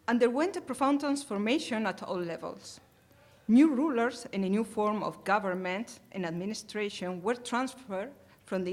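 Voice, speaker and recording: A woman with a Spanish accent delivers a formal academic lecture at a conference. Her voice sits at a middling pitch, and she moves through the material carefully, often pausing to hesitate. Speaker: conference presenter.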